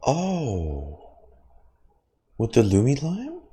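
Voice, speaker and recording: A man's voice: medium pitch, huge pitch swings, extremely slow, mellow. A man streaming a casual game reaction, making wry muttered asides.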